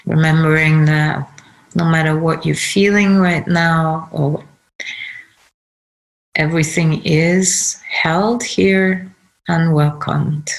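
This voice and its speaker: A woman leading a guided meditation, giving gentle instructions in short phrases with pauses. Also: sits low, steady tone, gravelly, low-quality recording. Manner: unhurried, pausing between short phrases.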